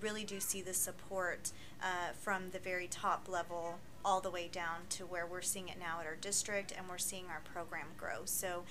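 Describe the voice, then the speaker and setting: A woman interviewing school staff, affirming and soft-spoken. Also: lowish voice, level tone, moderate pace, hardly any pauses, background noise.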